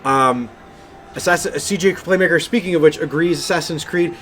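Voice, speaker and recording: Male co-host, clearly high voice, emphatic casual storyteller, slight noise in the recording. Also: moderate pace, fairly bright tone. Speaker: podcast co-host. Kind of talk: casual film and game discussion.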